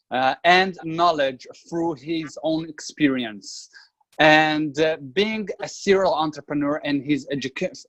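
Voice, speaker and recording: A man hosts an interview show in a somewhat high voice. He introduces his guest in a measured way, pausing now and then on small hesitations. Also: a bit bright. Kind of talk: hosting an interview.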